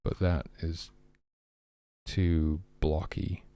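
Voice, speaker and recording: Male indie developer, very low-pitched and gravelly, casual musing delivery, second-guesses himself while working. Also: level tone, drawn-out words, dark tone, dry recording. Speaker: indie game developer streaming his work.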